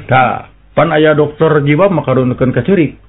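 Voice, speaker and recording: A Sundanese man with a Sundanese accent, telling comic folk jokes and breaking into laughter and high character voices. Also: normal speed, a little hiss or hum. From West Java, Indonesia. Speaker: comic storyteller. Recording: tinny phone-like sound with a live crowd.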